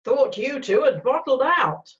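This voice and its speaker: A woman playing a confident, brisk male cavalry major in an amateur screenplay read-through. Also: sits high, expressive, lo-fi sound, a crowd in the background. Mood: cocky teasing.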